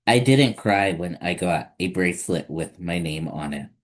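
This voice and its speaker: A man hosting story time, reading a children's picture book aloud in simple, deliberate phrases. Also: low voice, animated.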